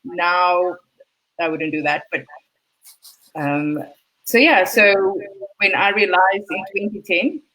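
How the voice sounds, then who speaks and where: A woman from South Africa with a South African accent, a guest in a casual interview, telling her story in a chatty, lively way. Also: on the low side, takes it slowly, pauses often, low-quality recording. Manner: chatty, animated storytelling with laughs.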